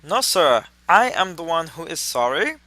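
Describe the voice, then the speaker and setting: A man's voice: on the high side, very animated, normal speed, bright, forceful tone, no echo, full character voice. A man playing a vain young celebrity singer in a radio drama, speaking in a grandiose, affected way. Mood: self-important. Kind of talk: noir radio drama acting.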